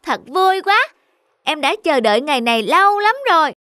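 A woman voicing an eager, excitable little boy in a cartoon, with a childlike way of talking. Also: high-pitched, moderate pace, dry recording, studio quality, full character voice. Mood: excited. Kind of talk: cartoon voice acting.

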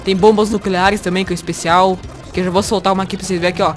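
A young man with a Brazilian accent, casually commentating a video game, joking, excitable and swearing a lot. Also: high-pitched, very fast. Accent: Brazilian Portuguese. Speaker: host. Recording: game music behind.

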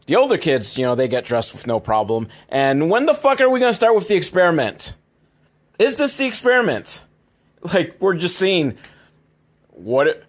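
A man hosting a comedy podcast, recapping films in a casual, rambling, sweary, mock-exasperated way. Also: mid-range voice. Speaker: podcast host.

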